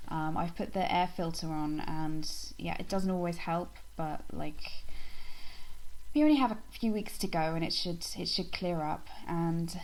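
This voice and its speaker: A woman talks casually to her viewers, her voice gravelly with fry and a little nasal from allergies. She sits on the lower side of the female range and wanders through her thoughts with frequent ums and restarts. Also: unhurried, darkish tone, low-quality recording. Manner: rambling, with many ums and restarts. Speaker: vlogger. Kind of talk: casual chat to camera.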